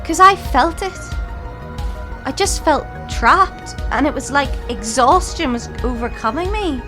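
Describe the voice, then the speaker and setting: A woman's voice: sits high, lively intonation, unhurried, over music. A young woman playing a plucky, sarcastic and incredulous student in an audio drama. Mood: shaken, curious. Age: young adult.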